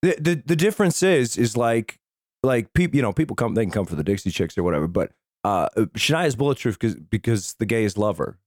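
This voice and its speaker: A middle-aged man with a hoarse voice, casually bantering and swearing as a podcast host. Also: lowish voice, very animated, fairly quick, a little mellow, no room sound, clean studio sound.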